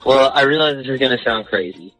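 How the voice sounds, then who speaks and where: A man's voice: medium pitch, animated, fast talker, a bit bright, faint music behind, rough recording. A young man calling a radio show, telling a personal story in a rambling, slangy, casual way.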